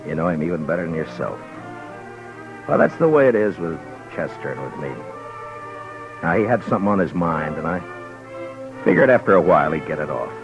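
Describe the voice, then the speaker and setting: A stern, fair-minded marshal of the Old West in a low, deliberate voice. He is terse and world-weary, in the manner of classic radio drama. The recording is dull and vintage, with music behind. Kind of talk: old-time radio western drama acting and narration.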